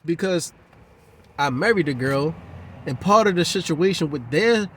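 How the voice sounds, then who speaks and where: A man's voice: on the high side, animated, a little slow, a little hiss or hum. A man giving a heated, profane, rambling monologue in a casual rant.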